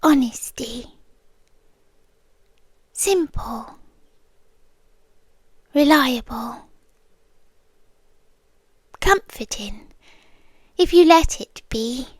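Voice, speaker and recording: Slow, high-voiced British yandere girlfriend, acted by a woman. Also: lively intonation, no echo, full character voice. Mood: possessive, insistent. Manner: slow, deliberate, breathy, drifting into whispers. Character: possessive yandere girlfriend, calmly menacing, falsely tender. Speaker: ASMR roleplay performer. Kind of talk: ASMR roleplay, intimate half-whispered monologue.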